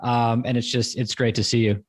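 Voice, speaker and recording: A man hosting a podcast, reading a measured intro and then chatting with a guest in a slow, measured way. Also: medium pitch, little pitch movement. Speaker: podcast host. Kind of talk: scripted intro, then casual interview.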